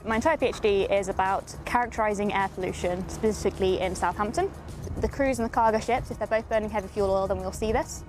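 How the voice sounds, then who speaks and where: A woman's voice: medium pitch, fast talker, music in the background. A woman PhD researcher casually explaining her air pollution measurements.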